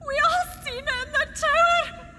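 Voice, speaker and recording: A woman's voice: very high voice, moderate pace, sharp tone, in a large hall, a little hiss or hum, heavily acted. A woman voicing a terrified young Scottish servant girl who sobs and cries out in panic, in an audio drama. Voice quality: crying, shrieking.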